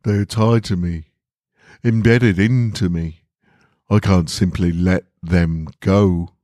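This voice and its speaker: A man acts out a colossal living statue from a storybook, weary and fearful under its burden. The voice is low and rumbling, hushed almost to a whisper. It speaks slowly and softly, in a close, dry sound. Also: fairly even intonation, no echo. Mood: resigned. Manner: slow, soft-spoken.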